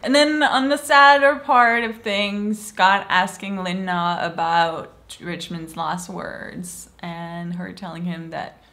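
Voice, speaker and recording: A woman's voice: fairly low pitch, fairly even intonation, slow, hardly any pauses, pushed and bright. A young woman from Switzerland giving a casual, enthusiastic review of a TV show, often acting out lines from it, in a small room. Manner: enthusiastic, playfully acts out quoted lines.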